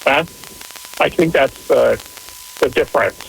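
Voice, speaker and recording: A man calling in to a radio show, speaking casually and tentatively with hesitant, halting phrasing. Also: mid-range voice, vocal fry. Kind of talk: casual, tentative call-in question. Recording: noisy radio broadcast with static.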